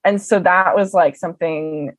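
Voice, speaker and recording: A young woman telling stories in a casual interview, chatty and animated, with lots of "um" and "like". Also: fairly low pitch, moderate pace, dry recording. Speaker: interview guest. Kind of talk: casual conversational storytelling.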